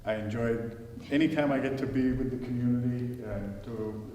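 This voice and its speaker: A man's voice: medium pitch, steady tone, normal speed, dark tone. Man talking in a big echoey hall. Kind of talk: informal self-introduction at an event. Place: large indoor hall at a community gathering. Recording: echoey hall, distant mic, background noise.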